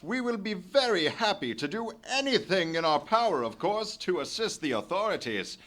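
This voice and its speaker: An actor playing a blustering, excitable young English gentleman who is a secret spy in a live radio play. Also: high-pitched, normal speed. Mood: indignant composure. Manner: blustering, emphatic, indignant. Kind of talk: live old-time radio play acting.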